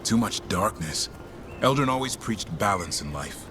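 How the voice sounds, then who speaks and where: An actor playing a restless, gruff but fond elf warrior who handles a griffin, in video game dialogue. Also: male voice, lowish voice, expressive, normal speed, lightly acted. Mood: reflective. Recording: music under dialogue.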